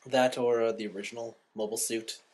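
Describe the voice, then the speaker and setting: A man's voice: mid-range voice, flat delivery, fast, darkish tone, breathy edge. A man co-hosting a podcast, chatting casually and enthusiastically with strong opinions as a fan. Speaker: podcast co-host. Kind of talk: casual fan chat about anime.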